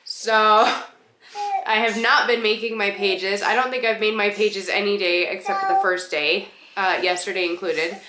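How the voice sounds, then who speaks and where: A woman with a stuffed-up nose chats in a loose, rambling way, and fillers keep slipping in. Her voice is bright and forward. The room echoes, and a faint hiss sits under the recording. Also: medium pitch, lively intonation, moderate pace. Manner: chatty, rambling, with laughs and fillers. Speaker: book vlogger. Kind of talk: casual reading vlog chat.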